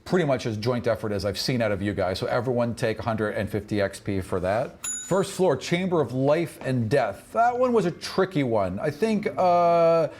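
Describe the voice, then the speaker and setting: A man's voice: mid-range voice, expressive, moderate pace, a little mellow. A man speaking as game master and host of a fantasy tabletop podcast.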